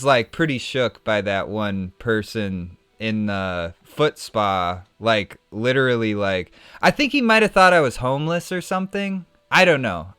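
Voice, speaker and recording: Rambling, animated male streamer. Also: medium pitch, clean tone, dry recording. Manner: animated, rambling, many restarts and "like". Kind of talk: casual storytelling while gaming.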